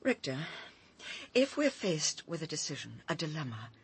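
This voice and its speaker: A woman's voice: deep voice, very animated, moderate pace, vocal fry, breathy edge, full character voice. An actress playing a troubled, earnest woman seeking guidance in an intimate radio drama. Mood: troubled, questioning.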